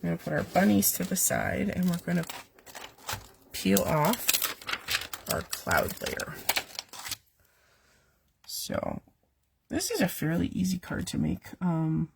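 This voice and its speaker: A woman with a cold leads a chatty card-making lesson from her basement. Her voice is rough and gravelly, with a faint hiss of noise underneath. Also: on the low side, very animated, slow, pauses often. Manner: chatty, rambling, with fillers and asides to her cat. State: has a cold, voice weak and coming and going. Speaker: host of a live card-making stream. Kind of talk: casual step-by-step craft demonstration. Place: home basement.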